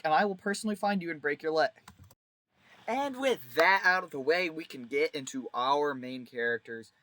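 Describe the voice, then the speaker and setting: A man's voice: fairly high pitch, a bit bright, no echo. A man giving casual video commentary in a wry, informal way, leaning on emphatic exaggeration. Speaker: video creator reviewing a visual novel.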